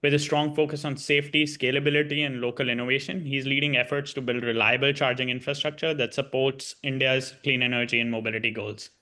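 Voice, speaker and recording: A man's voice: mid-range voice, steady tone, fast, hardly any pauses, bright, forceful tone. A man introducing the panelists, reading out their introductions in a formal, scripted way.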